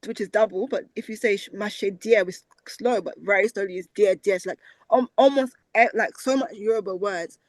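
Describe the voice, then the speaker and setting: A woman's voice: mid-range voice, brisk, no room sound, rough recording. A British woman, a language researcher, talking animatedly as a guest in a conversational interview. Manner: animated, repeats words for emphasis.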